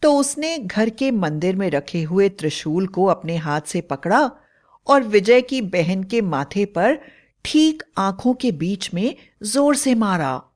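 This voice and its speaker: Expressive, slow-paced woman storyteller. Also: medium pitch. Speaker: storyteller narrator. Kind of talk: dramatic scripted bedtime horror story narration.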